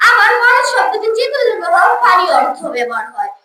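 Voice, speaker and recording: Very high-pitched schoolgirl debater, echoey. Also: very animated, brisk. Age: child or teenage school student. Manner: declamatory, formal. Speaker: school debate first speaker. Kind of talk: rehearsed competitive debate speech. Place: school debate event, room. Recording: echoey room, distant mic, noisy background.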